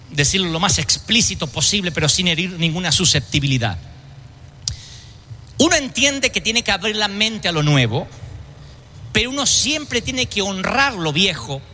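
A man's voice: high voice, bright, forceful tone. A man preaching a sermon to a congregation, emphatic and fond of rhetorical questions. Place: church service.

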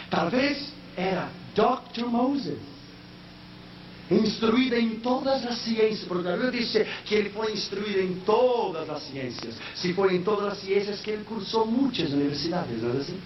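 A man preaching a sermon in a language that is not his first, slipping in English phrases and rising into shouted, sung-out peaks. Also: high voice, expressive, a little slow. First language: not Spanish. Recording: echoey, distant, dull sound with faint noise.

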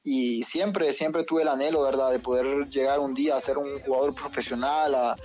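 A man's voice: medium pitch, fairly even intonation, moderate pace, a little mellow. A young man from Honduras with a Honduran accent, a guest on the show, speaking hesitantly with many fillers and restarts. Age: young adult. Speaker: guest, professional footballer.

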